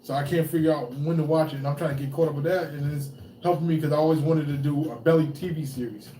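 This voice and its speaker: A man in his thirties co-hosting a podcast, talking quickly in an animated way with lots of stammered restarts. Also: on the high side, roomy sound, slight background noise, low-quality recording. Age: 30s. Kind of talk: casual chat.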